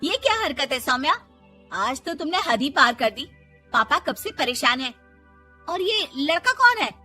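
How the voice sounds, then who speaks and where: An anxious middle-aged mother, acted by a woman storyteller, speaking in a high voice. She is indulgent, agitated and protective of her child, in a storybook drama with music. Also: big pitch swings, measured pace, sharp tone, heavily acted. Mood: reproachful.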